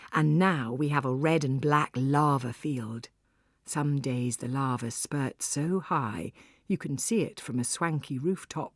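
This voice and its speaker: A woman's voice: extremely low pitch, slightly rough, no echo, studio quality, exaggerated voice. A woman playing a wry, exuberant Icelandic cafe owner and volcano guide, narrating in the first person with theatrical, confiding asides. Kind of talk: dramatised first-person audiobook monologue.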